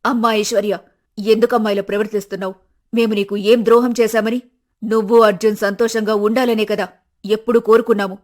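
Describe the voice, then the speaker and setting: A woman voicing an elderly mother-in-law in a cartoon story, soft and pleading in a motherly way. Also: medium pitch, level tone, fairly quick, pitched below the performer's own voice, lightly acted. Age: older.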